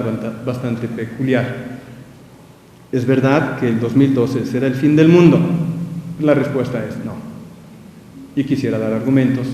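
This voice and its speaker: A man with a Mexican accent, a priest giving a measured public lecture with rhetorical questions. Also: medium pitch, warm tone, slight background noise. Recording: echoey hall with long reverb.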